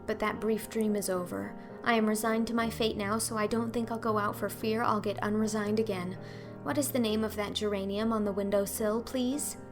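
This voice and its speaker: A woman's voice: medium pitch, steady tone, normal speed, over music, close to an everyday voice. A woman voicing a talkative, dreamy orphan girl in a storybook reading, effusive, pleading and melodramatic. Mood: eager, pleading.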